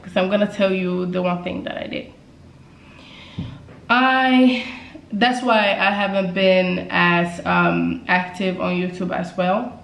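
A woman's voice: fairly low pitch, creaky. A young woman, talking casually to camera in a vlog from her apartment, chatty and repeating phrases for emphasis. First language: Swedish. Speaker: YouTuber. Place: indoors, bright apartment living room. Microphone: camera mic at desk distance. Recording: echoey room.

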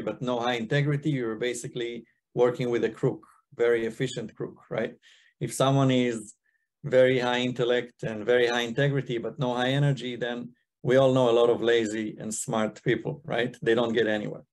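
A man's voice: mid-range voice, little pitch movement, brisk. A man in his thirties from Israel and China, presenting to a video call in a loose, restarting way. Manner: casual, thinking aloud with frequent restarts. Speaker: guest speaker, investor. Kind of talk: webinar presentation. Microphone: computer mic on video call.

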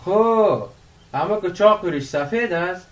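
A man's voice: high voice, animated, distant mic, dull audio. An actor playing a curious, impatient young village man who prods his friend conversationally in a radio drama. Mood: curious, prodding. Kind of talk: radio drama acting in dialogue.

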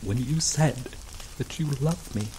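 A young man voicing an obsessive, possessive male lover in an ASMR roleplay, speaking slowly and softly with dark chuckles. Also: on the high side, natural voice, low-quality recording. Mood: obsessive, tender. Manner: slow, soft-spoken, dwelling on words with dark chuckles. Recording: steady rain noise behind voice. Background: rain falling.

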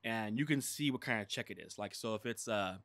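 A man in his thirties rambles through a relaxed podcast chat, leaning on filler words and acted-out asides. His voice sits a little low. He is recorded close on a desk mic in a small studio room with very little echo. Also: fairly quick. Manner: rambling, many "like" fillers, animated retelling. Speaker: podcast co-host. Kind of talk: casual chat. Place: small studio room with dark curtain backdrop. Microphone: desk mic on boom arm.

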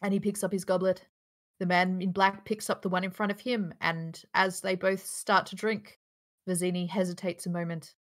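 A woman's voice: on the low side, level tone, moderate pace. A woman narrator reading screenplay stage directions aloud at a live table read.

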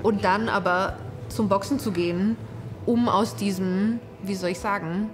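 A woman's voice: medium pitch, steady tone, unhurried. A young woman speaking thoughtfully in a documentary interview, sometimes searching for words. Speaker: interviewee, survivor. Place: living room with sofa. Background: soft music.

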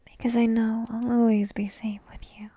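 Woman playing a whispering, breathy dragon princess. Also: fairly high pitch, level tone, dark tone, dry recording. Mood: vulnerable, tender. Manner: slow, intimate, coy. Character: petite dragon princess, entitled, teasing, tenderly devoted. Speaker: roleplay ASMR performer. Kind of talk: whispered ASMR fantasy roleplay. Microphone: close microphone, dry.

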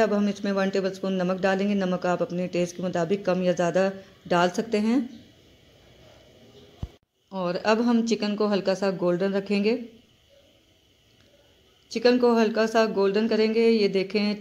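A woman hosting a home cooking channel, calmly narrating each recipe step and repeating key points. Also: mid-range voice, moderate pace. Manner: steady, instructive, repeats steps.

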